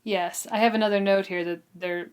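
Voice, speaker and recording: A woman's voice: mid-range voice, steady tone, a little fast, clean tone. A woman co-hosting a book discussion, recapping a novel in a casual, giggly, conversational way. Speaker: book discussion podcast co-host.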